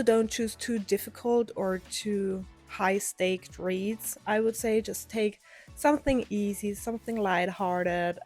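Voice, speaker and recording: A woman's voice: mid-range voice, a little mellow. A woman book vlogger talking to camera in a casual, chatty, enthusiastic way.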